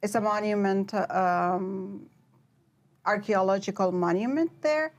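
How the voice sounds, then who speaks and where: A young woman from Iran, answering interview questions as a guest, with many pauses and fillers. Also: medium pitch, highs cut off. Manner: hesitant, many fillers and restarts. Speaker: PhD researcher, guest.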